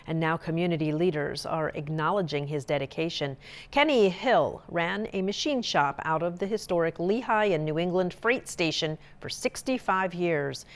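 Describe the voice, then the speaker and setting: Lower-pitched woman reading local news. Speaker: news reporter. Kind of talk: scripted local newsreel narration.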